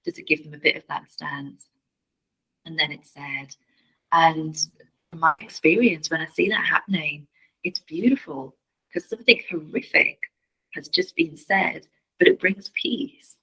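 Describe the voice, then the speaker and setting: A woman's voice: low-pitched, a little slow, bright, forceful tone, breathy edge, rough recording. A woman guest on a podcast, talking about her healing practice in an earnest way and repeating words as she searches for them. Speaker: podcast guest, family constellations facilitator. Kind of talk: casual interview chat.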